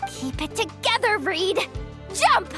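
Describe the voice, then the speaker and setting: A woman voicing an earnest, passionate young girl competitor in a video game, with a girlish, expressive delivery. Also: extremely high pitch, slow pace, music in the background, heavily acted. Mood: fired up, urgent. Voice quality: girlish, youthful. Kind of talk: animated video game voice acting.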